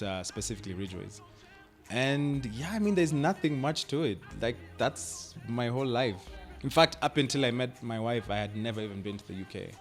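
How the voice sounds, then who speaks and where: A young Kenyan man, an actor, chatting easily in a radio interview, laughing often and filling with "like" and "I mean". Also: lowish voice. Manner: relaxed, laughs often, uses "like" and "I mean" a lot. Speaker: actor guest. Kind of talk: radio interview, casual chat.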